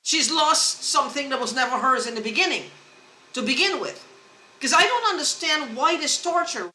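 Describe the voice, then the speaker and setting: Earnest, halting woman in an echoey room. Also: high-pitched, lively intonation, bright, forceful tone. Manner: halting, with many restarts and repeated words; earnest and insistent. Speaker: vlogger. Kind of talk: rambling personal monologue to camera.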